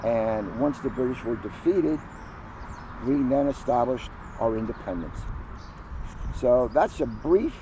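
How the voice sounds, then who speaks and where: A man who is a police officer, reading a children's picture book aloud outdoors with lively storytelling. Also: medium pitch, measured pace, muffled recording, noisy background. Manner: lively storytelling, livens up on quoted lines. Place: outdoors on a hill at a historic house.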